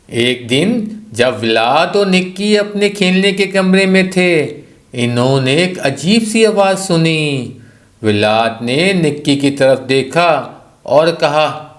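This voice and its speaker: A storyteller reading scripted narration for a children's tale. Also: mid-range voice.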